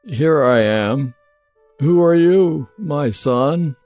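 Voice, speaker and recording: An aged, frail patriarch in an audio Bible drama. He speaks very slowly and solemnly, in a somewhat high male voice. Music runs underneath. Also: animated, a little mellow. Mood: weary, tender. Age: older. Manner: slow, deliberate, solemn delivery. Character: frail old father, solemn patriarch near death. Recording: music bed behind the voice.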